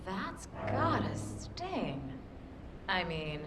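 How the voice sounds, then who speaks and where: Haughty game villainess, mocking, cutting, brash, very animated pitch, unhurried, roomy echo. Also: female voice, heavy background noise. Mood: gloating, mocking. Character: brash, ambitious, sneering female corporate schemer. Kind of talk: video game cutscene acting. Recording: roomy echo under loud music.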